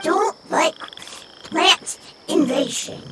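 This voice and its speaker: A woman voicing a tiny, simple, eager fungus creature in a video game, speaking in halting single-word exclamations. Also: very high voice, sing-song, distant mic, very noisy, full character voice. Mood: urgent. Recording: loud game music behind.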